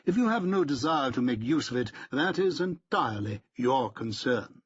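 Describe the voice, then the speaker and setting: A man's voice: medium pitch, big pitch swings, moderate pace, husky, no echo, highs cut off. A man voicing a pompous, stiff middle-aged barrister who talks in a haughty, clipped, precise way.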